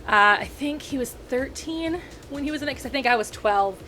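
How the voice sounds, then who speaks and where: A woman in her thirties, a video critic ranting casually to camera, rambling and animated with frequent self-corrections and asides. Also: high voice, moderate pace, a little hiss or hum. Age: 30s.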